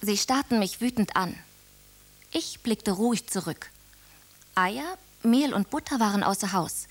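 A young woman narrating a radio drama in the first person, brisk and self-assured. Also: medium pitch, expressive. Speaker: narrator and heroine.

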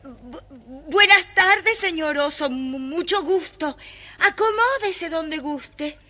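A woman's voice: very high-pitched, slow pace, sharp tone, heavily acted. An actress voicing a polite, well-behaved young girl in a children's story record, with a neat, girlish manner. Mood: nervous, polite. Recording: faint noise, old children's story record.

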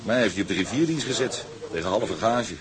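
A male actor plays a hearty tugboat sailor who is easily indignant. His delivery is blunt and exclamatory, with lively, swooping intonation at an ordinary male pitch, in the manner of a radio drama. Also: brisk, crowd noise, close to an everyday voice.

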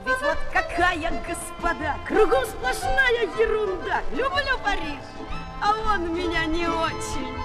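A cocky young Paris urchin in an old radio play. The voice is high and swoops boldly, full of boyish swagger and bravery. Loud music plays behind it. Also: female voice, big pitch swings, slow, exaggerated voice. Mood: defiant singsong. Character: cocky, brave Parisian street boy, ragged and generous. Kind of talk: Soviet children's radio play acting. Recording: 1970s flexi-disc, loud music behind.

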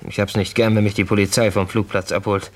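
Kühler, eher tiefer Auftragsgangster, von einem Mann gespielt. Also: male voice, on the low side, flat delivery, quick pace, natural voice. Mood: wary, dry. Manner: dry, laconic. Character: wary, cool male syndicate hitman. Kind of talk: German radio crime drama acting.

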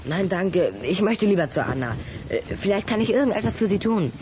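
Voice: mid-range voice, expressive, a little fast, noisy background, natural voice. An actor voicing a timid, hesitant boy, anxious and a little sulky, in a German children's radio play. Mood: hesitant, uneasy. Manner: hesitant, nervous.

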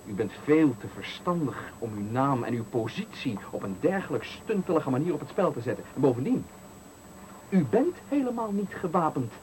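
An actor in a Dutch radio play playing a taunting, menacing stranger who speaks with deliberate, needling politeness in a Netherlands accent. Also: male voice, fairly high pitch, very animated, darkish tone. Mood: coldly accusing. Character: menacing, taunting male stranger cornering a man at night. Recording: faintly noisy 1980 radio recording.

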